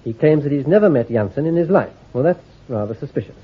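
An actor playing a shrewd army intelligence commander in a radio drama, issuing careful orders in a clipped, authoritative way. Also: male voice, on the high side, lively intonation, fast talker, slightly rough. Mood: commanding. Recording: tinny, phone-like old recording.

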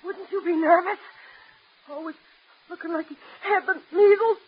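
High-pitched, overwrought young factory girl. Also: steady tone, moderate pace. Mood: anguished, tearful. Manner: overwrought, ranting, repeats herself. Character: bitter, self-loathing young factory worker, a daughter. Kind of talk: melodramatic radio drama acting. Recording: muffled, dull old radio drama recording.

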